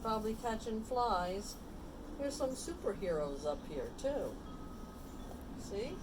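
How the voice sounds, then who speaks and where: Slow-paced woman reading to children, noisy recording. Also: medium pitch, sing-song. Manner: explains pictures simply, checks in with questions. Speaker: storybook reader. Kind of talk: read-aloud story with chatty asides to young children.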